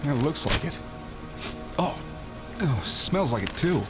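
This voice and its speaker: An actor playing a young wisecracking treasure hunter, quick and joking, in a fan audio drama. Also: male voice, on the high side, very animated, poor audio. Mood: wry. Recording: loud background music.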